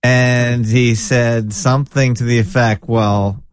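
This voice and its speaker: A man with a nasal voice hosting a relaxed talk show, chatty and jokey, with blunt opinions. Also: mid-range voice, slow pace. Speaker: podcast host. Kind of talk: casual chat.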